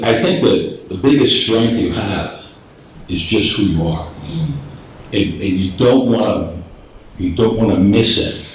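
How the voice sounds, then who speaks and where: A man's voice: deep voice, slight background noise. A middle-aged man giving a candid, plain-spoken business talk, with many fillers and restarts, in a meeting room. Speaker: business presenter. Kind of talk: informal business presentation. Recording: echoey room, speaker far from the mic.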